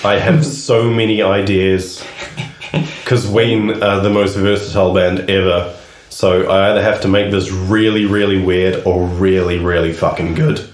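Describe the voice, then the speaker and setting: A man co-hosting a podcast in casual chat, with a low voice, frank and laughing often, swearing freely. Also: flat delivery, measured pace, roomy sound. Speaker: podcast co-host.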